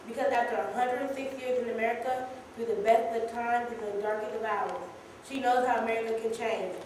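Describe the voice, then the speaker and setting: A woman's voice: a little high, a little mellow. A young woman reading a political speech aloud at a church service. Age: young adult. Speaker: congregation youth member reading a speech. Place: large church, indoors. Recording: very echoey church, far off, faint noise.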